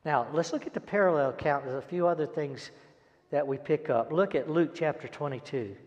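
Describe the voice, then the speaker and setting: An older man preaches a sermon and reads scripture with dramatic, emphatic delivery and deliberate pauses. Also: fairly high pitch, darkish tone, muffled recording. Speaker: pastor. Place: large church auditorium stage, indoors. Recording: echoey hall, long reverb.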